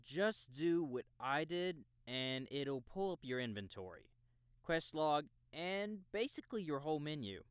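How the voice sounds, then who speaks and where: Man playing easygoing male game mentor, helpful, unhurried delivery, crisp smooth tone, very dry sound. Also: on the high side, expressive, dry recording. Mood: casual. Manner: casual, unhurried instructing.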